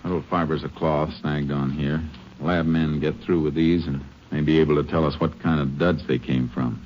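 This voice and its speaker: An actor playing a calm, methodical Texas Ranger in a 1950s radio western, speaking in clipped, matter-of-fact phrases. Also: male voice, rumbling low, moderate pace. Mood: thoughtful, terse. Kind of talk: 1950s radio western drama acting and narration. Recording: dull old radio recording with clear hiss.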